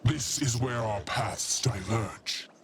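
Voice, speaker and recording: An actor playing a stern, wise old witch matron in a fantasy game, speaking in a measured, formal way. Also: medium pitch, close to an everyday voice. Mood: reluctant, resolved. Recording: noisy ambience behind the voice. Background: game ambience noise.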